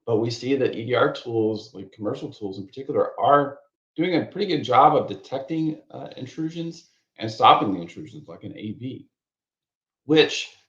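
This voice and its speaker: A man, the course author, walking through a technical course in a relaxed, rambling way with lots of fillers and restarts, his voice a little raspy. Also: medium pitch, normal speed, lo-fi sound. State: slightly raspy voice, needs a cough drop. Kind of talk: conversational technical course walkthrough.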